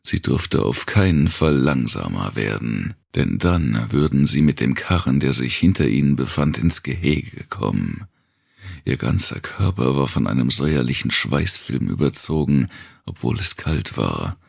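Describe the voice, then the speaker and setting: A man reading a dark thriller audiobook in a measured, deliberate way, with a gravelly, husky voice. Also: a bass voice, very animated, no echo, clean studio sound. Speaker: audiobook narrator. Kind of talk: scripted narration of a dark post-apocalyptic thriller.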